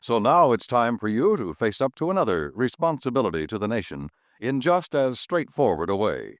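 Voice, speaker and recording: A man's voice: mid-range voice, very animated, normal speed, no room sound. Male radio announcer, formal and declamatory, sweeping intonation, dry studio sound. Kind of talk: 1950s network radio announcement.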